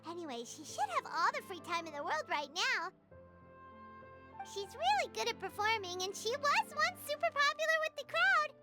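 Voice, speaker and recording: A woman voicing a small, excitable female sprite companion in a video game who talks about herself in the third person. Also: extremely high pitch, moderate pace, full character voice. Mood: chirpy. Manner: excitable, refers to herself by name. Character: tiny floating female companion sprite, chirpy and eager. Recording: game audio with loud music behind.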